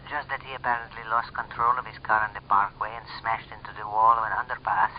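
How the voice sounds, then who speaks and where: An actor playing a concerned secret lover in an old-time radio drama, heard through a telephone filter. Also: male voice, lowish voice, level tone, fast talker, highs cut off, slight background noise. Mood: urgent, concerned.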